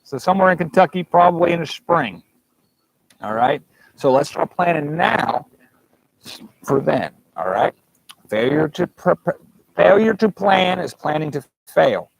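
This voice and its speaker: Man, gravelly, slightly high voice, folksy rambling livestream chat, outdoors, clip-on mic. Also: big pitch swings, unhurried, pauses often, dry recording, rough recording. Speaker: livestream host. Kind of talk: casual live chat. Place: outdoors at a campsite. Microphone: clip-on mic with fuzzy windscreen.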